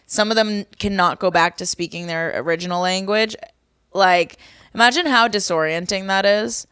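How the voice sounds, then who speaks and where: A woman's voice: medium pitch, normal speed, fairly bright tone, smooth, dry recording, studio quality. A young woman from Boston co-hosting a podcast, rambling and musing in casual chat. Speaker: podcast co-host. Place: Miami home.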